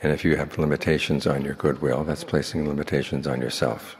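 A man speaking as a Buddhist monk, leading a guided meditation in slow, measured phrases with long pauses. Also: very deep voice, flat delivery. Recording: long hall reverb.

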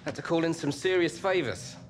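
An actor playing a pushy, fast-talking wheeler-dealer man in a video game cutscene. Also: fairly high pitch, slight background noise, close to an everyday voice. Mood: pushy, persuading.

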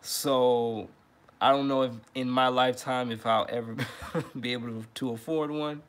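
A man's voice: medium pitch, normal speed, clear, resonant tone. Man in his thirties, laid-back livestream chat, repeats himself, ums a lot, home webcam mic. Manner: relaxed and friendly, repeats words, many fillers. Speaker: trumpet teacher and livestream host. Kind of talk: casual livestream talk. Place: living room at home.

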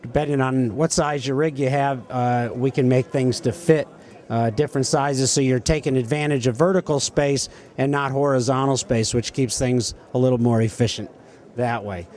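A man's voice: medium pitch, fairly even intonation, normal speed, a little mellow. A man explaining products as an exhibitor in a trade show interview. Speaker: equipment exhibitor. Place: indoor trade show hall.

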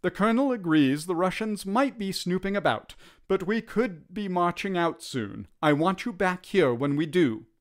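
A man voicing a curt, grudging British army lieutenant, brisk and commanding, in a storybook. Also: high-pitched, expressive, pitched above the performer's own voice.

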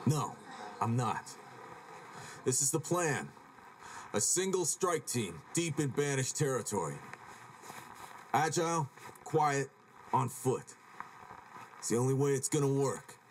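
A man's voice: medium pitch, very animated, slow, pauses often, poor audio. A man playing a resolute, grave squad leader in a video game audio drama, speaking in a firm, clipped, decisive way. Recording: faint noise on audio log.

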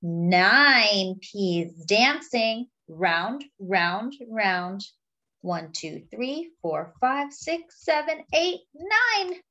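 Slow, lilting young woman reading to children. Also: mid-range voice, animated, pushed and bright, highs cut off. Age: young adult. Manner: deliberate sing-song counting and reading, addressing children. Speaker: children's story reader. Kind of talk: picture book read-aloud for young children.

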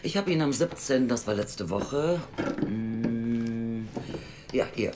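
A woman's voice: extremely low pitch, animated, takes it slowly, noisy background, full character voice. An actress playing a blunt, sceptical, world-weary female youth welfare officer in an audio drama, speaking drily. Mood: sceptical, brisk. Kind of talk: naturalistic audio drama acting.

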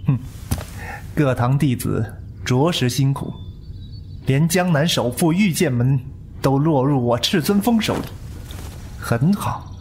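A man's voice: medium pitch, expressive, takes it slowly, long pauses, a little mellow, slight background noise. A man playing a possessive, coolly intense young heir of a martial-arts sect in a Chinese wuxia audio drama. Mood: cool, sardonic.

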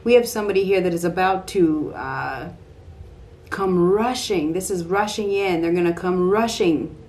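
Low-voiced middle-aged woman reading tarot. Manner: halting, repeats phrases as she thinks aloud. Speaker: tarot card reader. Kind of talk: improvised tarot reading to camera. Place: small home room, indoors. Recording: clear steady background room noise.

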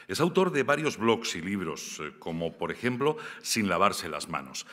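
Bright-voiced male presenter in a theatre. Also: deep voice, very animated, moderate pace. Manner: reads from notes, formal. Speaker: awards ceremony presenter. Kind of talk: scripted announcement. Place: large theatre stage. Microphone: podium microphone. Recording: long theatre reverb.

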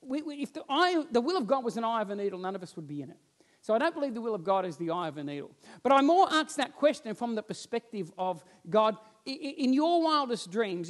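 A man, preaching a sermon on a church stage and telling stories with lively gestures while he walks about. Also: sits high. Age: 40s. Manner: animated storytelling, roams the stage gesturing. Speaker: pastor. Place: church stage with band instruments, indoors.

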